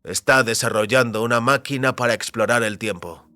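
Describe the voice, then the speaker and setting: An actor playing a wary, determined man trapped between powerful forces, speaking deliberately in an audio drama. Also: medium pitch, pushed and bright, natural voice. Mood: explaining, intent.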